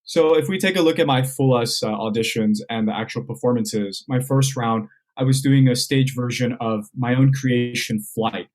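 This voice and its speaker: A man's voice: mid-range voice, normal speed, hardly any pauses, a little mellow. A young man from Southern California, a magician guest on a podcast, explaining how he builds his routines and acting out bits of past conversations. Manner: explains step by step, acts out remembered dialogue. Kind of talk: podcast interview answers.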